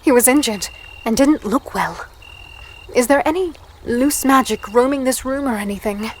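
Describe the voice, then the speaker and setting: A woman playing a guarded, curious young human captive in a dark fantasy audio drama, hesitant, polite and introspective. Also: a little high, normal speed, a little hiss or hum, natural voice. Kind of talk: first-person audio drama narration and dialogue.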